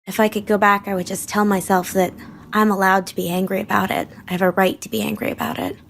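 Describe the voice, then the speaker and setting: A woman's voice: medium pitch, flat delivery, fast, creaky, slight background noise. A woman recording a phone voice memo, speaking in a reflective and earnest way. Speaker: listener sending a voice memo.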